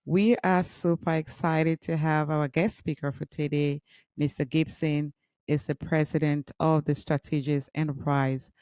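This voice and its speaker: A woman's voice: low voice, steady tone, a little slow, a little mellow, no echo. Upbeat woman podcast host, dry sound. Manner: upbeat, encouraging questions. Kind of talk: interview hosting.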